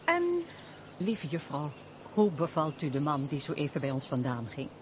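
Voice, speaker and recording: Noblewoman, highly expressive pitch, old-fashioned formal diction, radio play, slightly noisy recording. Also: normal speed. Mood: playful, probing. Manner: formal, archaic, expressive speech. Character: lively, teasing, compassionate noblewoman, a countess. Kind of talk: theatrical Dutch radio play acting.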